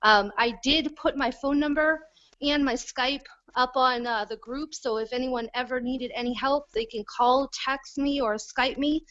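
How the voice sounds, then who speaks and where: A woman talking excitedly on a call from her phone, chatty and caffeinated. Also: high voice, unhurried. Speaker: guest, team leader. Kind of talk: casual chat on a video call. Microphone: iPhone. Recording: dull lo-fi phone audio on a call.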